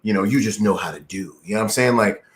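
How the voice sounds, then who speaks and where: A male podcast host with a fairly low voice, chatting in an easygoing way. He leans on slang and keeps nodding along with short fillers as his guest talks. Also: fast talker. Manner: laid-back slang, lots of agreeing fillers. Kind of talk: casual podcast interview.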